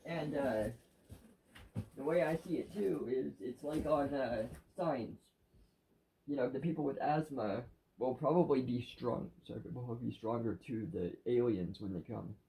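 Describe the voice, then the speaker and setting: A man's voice: medium pitch, unhurried, creaky, dark tone, rough recording. A man in a casual chat about religion with his wife, plainspoken, agreeable and blunt. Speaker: vlogger's husband, devotional reader.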